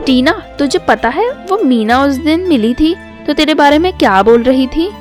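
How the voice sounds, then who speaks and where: A woman's voice: sits high, animated, moderate pace, faint music behind. A woman voicing a sly, gossipy young daughter-in-law who feigns innocence in a storybook tale. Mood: feigned innocence.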